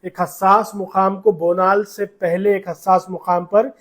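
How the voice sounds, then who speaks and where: A man's voice: high-pitched, little pitch movement, measured pace. A man with a Hyderabadi Urdu accent narrating a news report with commentary.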